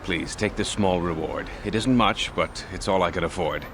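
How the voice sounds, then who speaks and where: An actor playing an earnest, kindly medic and shopkeeper in a video game. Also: male voice, sits low, moderate pace, background noise, natural voice.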